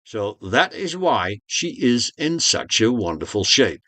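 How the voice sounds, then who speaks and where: Lively-pitched man giving a measured vehicle tour. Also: dry recording. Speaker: vlogger presenting own motorhome.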